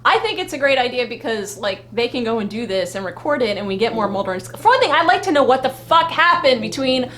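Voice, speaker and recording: A woman with a fairly high voice chats as co-host of a live fan vlog. She is blunt and informal, swearing freely, with some noise faintly behind her. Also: expressive, fast talker, hardly any pauses, a bit bright. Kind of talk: casual live fan chat.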